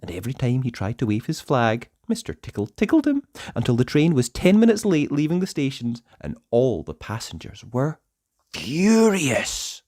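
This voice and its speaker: A man narrating a children's storybook aloud in a playful way, with dramatic pauses and emphasis. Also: mid-range voice, huge pitch swings, darkish tone, dry recording, studio quality.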